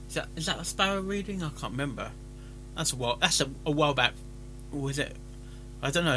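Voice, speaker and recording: A man giving casual, rambling commentary, haltingly repeating and restarting his phrases. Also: a little high, bright, forceful tone. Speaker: reaction video host reviewing fan fiction.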